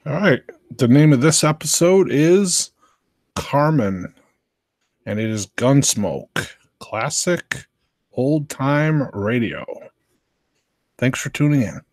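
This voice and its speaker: An American man casually hosting a livestream in a low-key, halting way. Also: lively intonation, a little mellow, no echo. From southern Virginia, United States. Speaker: livestream host.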